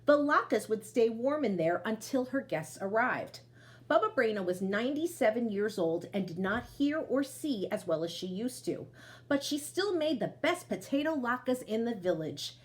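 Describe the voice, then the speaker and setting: A woman storyteller reading aloud to children, expressive, with chatty asides that speak to them directly. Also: on the high side, moderate pace.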